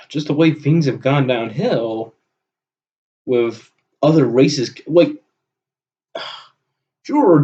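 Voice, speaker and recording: A dull, lo-fi recording of a man talking off the cuff in a fairly low voice. He rambles through an unscripted monologue in a halting way, often pausing and letting sentences trail away. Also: a little mellow. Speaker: vlogger recording an audio log.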